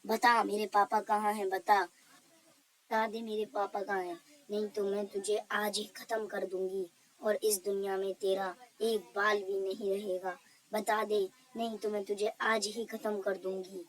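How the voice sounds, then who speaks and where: A woman's voice: mid-range voice, flat delivery, unhurried, lightly acted, poor audio. A woman voicing a brave, devout young daughter in a cartoon story, speaking insistently as she pleads and challenges. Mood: defiant. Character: brave, defiant, devout young daughter seeking her father.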